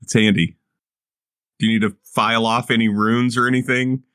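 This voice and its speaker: A man's voice: medium pitch, flat delivery, moderate pace, a bit bright, smooth, no room sound, studio quality. A man playing a tabletop game, talking casually in and out of character. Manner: easygoing, casual in-character talk. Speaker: player.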